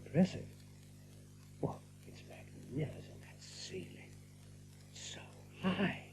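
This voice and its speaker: An actor playing a modest, easily flustered rabbit storyteller in an audio drama, stammering and self-effacing. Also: male voice, slow, in a whisper, music in the background, lightly acted. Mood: awed. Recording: muffled, hissy old audiobook recording.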